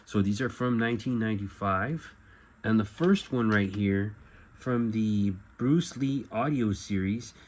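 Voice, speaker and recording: Middle aged collector guy, kinda low voice, rambly show and tell, corrects himself, noisy room tone behind him. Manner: halting, rambling, often self-correcting. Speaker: collector presenting memorabilia. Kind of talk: casual show-and-tell vlog. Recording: clear background noise.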